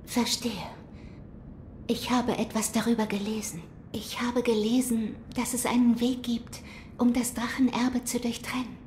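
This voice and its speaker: Woman acting a solemn, hushed shrine maiden. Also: fairly high pitch, level tone, measured pace, slight background noise, close to an everyday voice. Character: solemn, gentle mystical shrine maiden of dragon blood. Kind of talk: audio drama acting.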